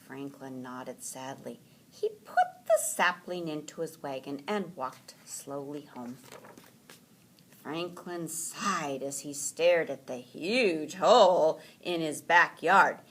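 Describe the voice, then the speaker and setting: A woman reading a children's story aloud in an animated, deliberate storytelling manner. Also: deep voice.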